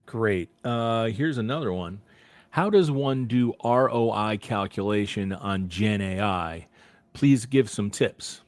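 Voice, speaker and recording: A man's voice: fairly low pitch, slow, no echo. A man moderating a question-and-answer session, reading out audience questions.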